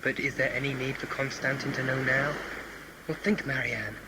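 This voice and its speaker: A man's voice: mid-range voice, little pitch movement, moderate pace, close to an everyday voice. A man acting a scheming, ambitious conspirator in a sci-fi audio drama, intense, formal and persuasive. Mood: scheming, urgent. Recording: noisy amateur audio play recording.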